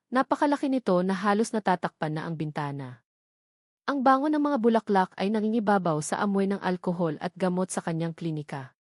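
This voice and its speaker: A woman's voice: mid-range voice, darkish tone, no room sound. Woman narrating a romance story, dry sound.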